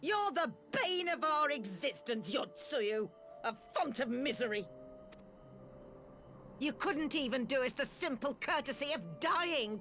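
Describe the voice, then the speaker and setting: Bitter snobby woman from a video game, bright forceful voice, slow dramatic scolding, music under it. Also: high-pitched, heavily acted. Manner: melodramatic, scolding. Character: bitter, haughty, self-pitying woman berating a girl.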